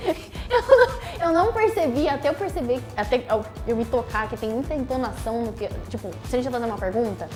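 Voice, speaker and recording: A woman's voice: fairly high pitch, quick pace, hardly any pauses. Bubbly Brazilian woman over music. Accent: Brazilian Portuguese. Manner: bubbly, lively, filler-heavy. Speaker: conversation guest. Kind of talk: casual chat. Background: background music.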